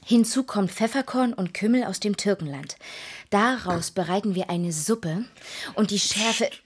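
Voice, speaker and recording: An actress in a German radio drama playing a naive, chatty servant girl who rambles haltingly, devoted and deferential. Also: medium pitch, husky. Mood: earnest, dreamy.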